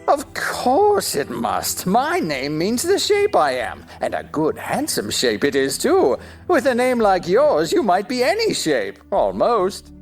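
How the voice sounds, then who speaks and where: A woman's voice: medium pitch, wildly swooping pitch, slow pace, few pauses, soft background music, full character voice. A woman playing a pompous, touchy, argumentative talking egg in a stage-play style audio drama, with haughty, exaggerated emphasis. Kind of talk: theatrical audio drama acting.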